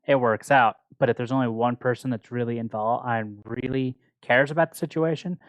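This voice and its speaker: A man's voice: mid-range voice, fairly even intonation, brisk, dry recording, low-quality recording. A man co-hosting a book club podcast, talking casually and explaining his thoughts in a reflective way.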